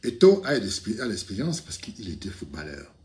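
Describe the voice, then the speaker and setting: A man's voice: on the low side, wildly swooping pitch, normal speed, a little mellow. A man from Cameroon giving his political opinion straight to the camera, outspoken, with many restarts and fillers. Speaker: video commentator. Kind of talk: casual political commentary to camera. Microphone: camera, speaking to it directly.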